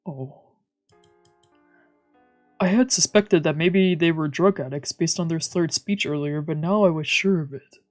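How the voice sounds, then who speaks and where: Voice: medium pitch, measured pace, pauses often. A streamer reading visual novel narration aloud, with casual asides, while music plays behind. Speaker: streamer, let's player.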